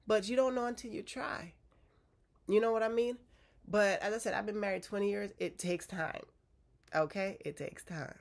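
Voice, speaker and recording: A woman in her forties, stuffy from a cold, giving direct, coaching relationship advice to her viewers and checking in with a frequent "okay?". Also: fairly low pitch. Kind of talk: casual advice talk to viewers.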